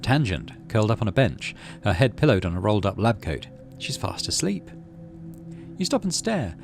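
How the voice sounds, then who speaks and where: A man's voice: on the low side, very animated, moderate pace, vocal fry, a little hiss or hum. A man casually hosting a game playthrough, reading narration aloud and muttering his thinking as he plays. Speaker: gaming channel host.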